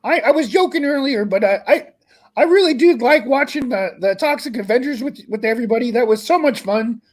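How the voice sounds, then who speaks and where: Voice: mid-range voice, expressive, a little fast, lightly acted. A performer voicing a sentimental, hard-living, boastful frog puppet in rambling, improvised livestream chat. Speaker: co-host of an online puppet comedy show.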